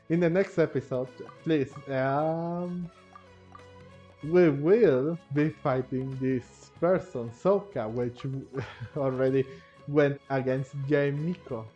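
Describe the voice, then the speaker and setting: A man giving casual gameplay commentary as a video host, halting and searching for his words. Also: medium pitch, dark tone. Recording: dull lo-fi mic, game music behind. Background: game music.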